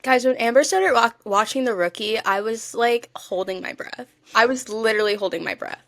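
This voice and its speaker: A woman's voice: a little high, normal speed, no echo. A young woman co-hosting a podcast in casual chat, talking in a gushing, emphatic fan style. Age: young adult. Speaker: podcast co-host.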